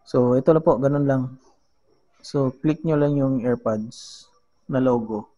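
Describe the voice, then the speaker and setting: A man with a Filipino accent giving a casual how-to demonstration as a vlogger, halting as he searches for words and mixing two languages. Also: medium pitch, mellow, poor audio. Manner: halting, searching for words, switches between Tagalog and English. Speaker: vlogger giving a gadget tutorial.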